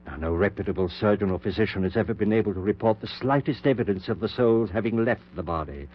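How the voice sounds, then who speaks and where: An actor playing an obsessed middle-aged surgeon in a 1940s radio horror drama, giving intense, clipped, commanding orders. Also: male voice, lowish voice, quick pace, close to an everyday voice. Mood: fervent, insistent. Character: obsessed middle-aged male surgeon reviving his dead wife. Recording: muffled, noisy, humming 1940s radio recording.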